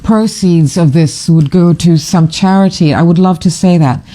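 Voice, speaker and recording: Gushing middle-aged photographer with a mellow voice. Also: medium pitch, normal speed. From Fiji. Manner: rambling and digressive, with many fillers and restarts; gushing, enthusiastic. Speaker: photographer and author presenting a book. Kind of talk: casual live commentary to camera.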